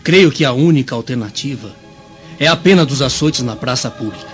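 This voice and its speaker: An actor playing a nervous, deferential aide in a radio drama, with an urgent, formal delivery. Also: male voice, medium pitch, lively intonation, a little fast, lo-fi sound, soft background music, close to an everyday voice. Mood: earnest. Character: nervous, deferential male aide to a Roman governor.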